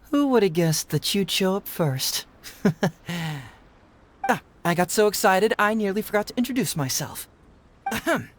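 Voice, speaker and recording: An actor voicing a playful, self-assured young detective in a video game, chatty and theatrically flattering. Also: male voice, sits high, a little hiss or hum. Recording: faint music behind the voice.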